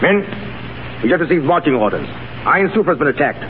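An actor playing a brisk, commanding military commandant in a 1930s radio drama. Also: male voice, on the high side, very animated. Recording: tinny, faintly noisy 1930s radio broadcast.

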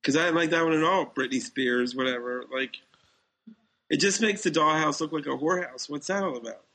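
A man's voice: a little high, fairly quick, bright, forceful tone, low-quality recording. A man co-hosting a casual podcast, giving opinions in a blunt, dismissive way. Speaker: podcast co-host. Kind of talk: casual opinionated review chat.

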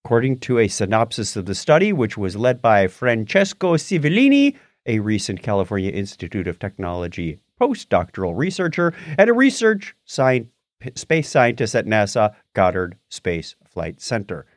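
Animated male podcast host, lively pitch. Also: normal speed, dry recording, studio quality. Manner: jokey, animated. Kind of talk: casual banter and reading aloud on a podcast.

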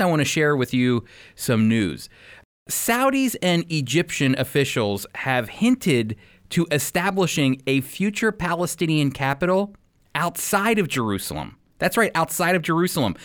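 A man hosting a radio program as a Bible teacher, speaking with deliberate, emphatic phrasing. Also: fairly high pitch, fairly bright tone.

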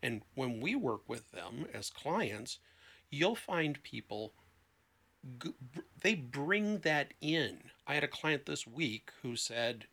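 Bright, clear-cut male therapist explaining. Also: mid-range voice, big pitch swings, slow. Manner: explanatory, illustrating with anecdotes and quoted dialogue. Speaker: therapist, interview guest. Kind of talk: conversational explanation.

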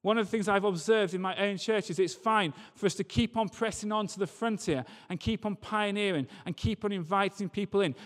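A man preaching a church sermon in an earnest, emphatic way, repeating key phrases. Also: high voice. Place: large reverberant church or hall. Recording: long hall reverb.

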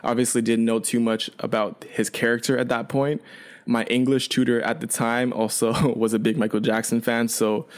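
A man in his twenties, a native Chinese speaker raised in Canada, telling his story as a podcast guest in a relaxed, rambling way. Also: mid-range voice, moderate pace. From China, raised in Canada. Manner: relaxed, rambling, frequent fillers and restarts. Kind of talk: casual storytelling.

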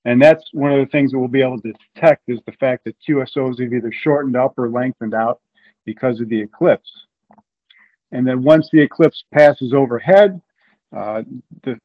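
Man explaining radio science, dull audio. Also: mid-range voice. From Ohio, USA. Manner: explanatory, with frequent restarts. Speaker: guest. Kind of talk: interview explanation.

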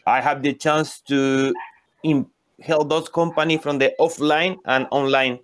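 A man's voice: fairly high pitch, steady tone, a little slow, smooth, no room sound. A man from Chile with a Chilean Spanish accent, introducing himself informally as a sales expert on a panel.

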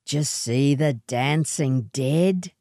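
A gruff outback vagrant man, played by a woman reading a story, sly and seductive. The voice sits low and moves slowly, coaxing and teasing in a storybook manner. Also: dark tone, no room sound, clean studio sound, full character voice. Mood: eerie, teasing.

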